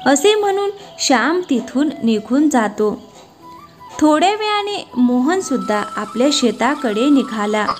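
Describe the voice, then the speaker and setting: A woman storyteller reading scripted narration for an animated moral tale. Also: sits high, measured pace, faint music behind.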